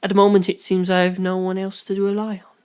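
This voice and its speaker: Audiobook role: reserved, polite young man, quiet formal delivery, old-world manners, acted out by a narrator. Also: medium pitch, monotone, fast, smooth, lightly acted. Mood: grateful, formal. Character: polite, reserved, old-fashioned young gentleman, soft-spoken.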